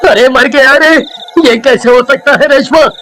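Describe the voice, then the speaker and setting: A man's voice: extremely high pitch, unhurried, sharp tone, slight background noise, full character voice. A man playing an anxious, superstitious village man in a cartoon, with dramatic exclamations. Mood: frightened. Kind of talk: cartoon story acting.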